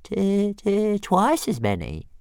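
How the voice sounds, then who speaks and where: A man's voice: huge pitch swings, slow, creaky, a little mellow, smooth, dry recording, clean studio sound, heavily acted. A man voicing a small, stubborn young dragon who stutters badly and sulks. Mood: eager sulky. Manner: stuttering, sulky, squeaky.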